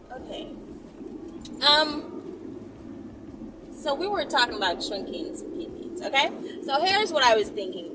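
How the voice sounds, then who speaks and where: A woman hosting a casual vlog, chatting in a rambling, playful and bossy way, as if giving viewers instructions. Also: a little high. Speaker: vlog host. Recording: very noisy, distant mic, vehicle ambience.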